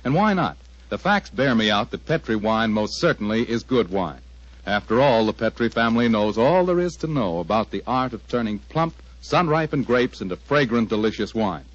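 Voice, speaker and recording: A man's voice: lowish voice, sing-song, normal speed, no echo. An American man announcing in 1940s radio style, with polished, enthusiastic salesmanship. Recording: dull old-time radio recording.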